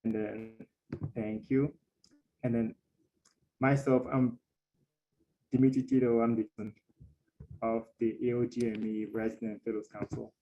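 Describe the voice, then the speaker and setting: Flat-voiced young man hosting a webinar. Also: medium pitch, normal speed, long pauses, dull audio. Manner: steady, even delivery with frequent fillers. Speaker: webinar host and council president. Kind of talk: panel webinar moderation.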